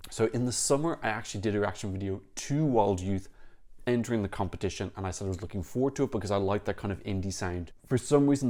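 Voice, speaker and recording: Low-voiced man giving blunt opinions. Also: darkish tone. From Ireland. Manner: frank, critical, talks in quick bursts with restarts. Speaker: Eurovision reaction vlogger. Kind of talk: opinionated casual commentary to camera.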